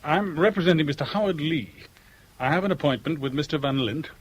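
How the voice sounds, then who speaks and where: A man's voice: fairly high pitch, brisk, slightly rough. An actor playing a blunt, hot-blooded man in a radio drama, with a terse and insistent way of talking. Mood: firm.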